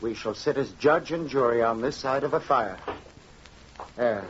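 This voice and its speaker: A man's voice: medium pitch, lightly acted. Man acting grave fisherman father, dull old radio. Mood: grave, resolved. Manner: halting, earnest, repeating words. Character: grave, careworn middle-aged fisherman father, stern with his son. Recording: dull, noisy vintage radio recording.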